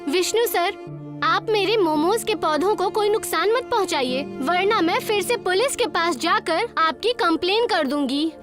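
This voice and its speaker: An earnest, wronged food seller, acted by a woman, speaks in a high, plaintive voice. It is emotional cartoon storytelling, with light music behind. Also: lively intonation, unhurried. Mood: firm, upset. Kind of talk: cartoon moral-story acting.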